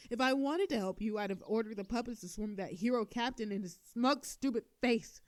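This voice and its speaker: A woman playing a proud, sardonic female telepathic villain with a hidden soft side, in a theatrical scripted audio drama. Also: on the high side, a little fast, no echo, lightly acted. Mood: defensive, flustered. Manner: theatrical, indignant then soothing.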